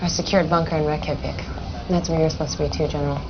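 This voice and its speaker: Brisk, low-voiced military aide. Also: female voice, steady tone. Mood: insistent. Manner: brisk, urgent. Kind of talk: dramatic video game cutscene acting. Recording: dull lo-fi audio, clear background noise.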